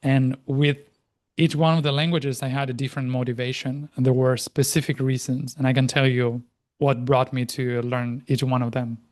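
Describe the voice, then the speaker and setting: A man in his thirties from Argentina, a native Spanish speaker, talking reflectively as an interview guest with frequent restarts and pauses. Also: mid-range voice, level tone, darkish tone. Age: 30s. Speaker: interview guest, life and language coach. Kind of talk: casual interview conversation.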